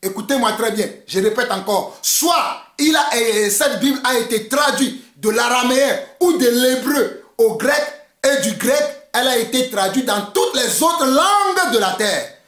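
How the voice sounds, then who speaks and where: A man's voice: very high voice, lively intonation, a little slow, fairly bright tone, reverberant. A man from Togo whose first language is Mina, preaching an emphatic, fiery sermon and repeating his points. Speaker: pastor.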